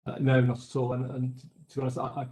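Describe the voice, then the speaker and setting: A man's voice: medium pitch, monotone, mellow, echoey room, slight background noise. Hesitant man giving expert testimony. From England. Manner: measured, hesitant with frequent restarts. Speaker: expert witness. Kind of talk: inquiry testimony.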